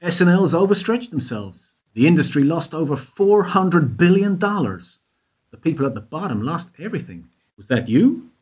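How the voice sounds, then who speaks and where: A persistent investigator grills a witness with question after question, played by a man. His husky voice sits high and swings widely. This is a radio play. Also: very animated, normal speed, darkish tone. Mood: probing. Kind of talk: amateur radio play acting.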